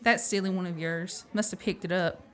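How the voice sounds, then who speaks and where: A woman's voice: lowish voice, steady tone, a little fast, a bit bright, lightly acted. A woman acting a plucky, frank young woman in an amateur radio play. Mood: puzzled, urgent. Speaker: student actor.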